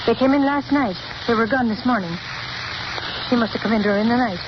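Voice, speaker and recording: A nervous, jittery rooming-house cleaning woman voiced by a woman, high-pitched and shrill. Heard on a dull, hissy old radio broadcast. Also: fairly quick, close to an everyday voice. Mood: flustered. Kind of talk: 1930s radio drama acting.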